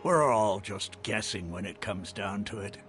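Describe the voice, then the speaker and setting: An actor playing an easygoing adult man in town who gives out information in a relaxed, wry way, with game music behind. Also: fairly low pitch, measured pace. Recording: game audio with background music.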